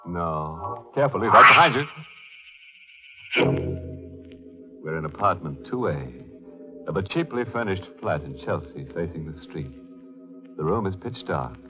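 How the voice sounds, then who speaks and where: Deep, ghoulish horror host. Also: male voice. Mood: hushed, ominous. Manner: sardonic, savoring morbid puns. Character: ghoulish, gleefully macabre mystery host with mock-genteel wit. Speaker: horror anthology host and narrator. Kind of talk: 1940s radio acting, scripted narration. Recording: muffled 1940s radio recording with music.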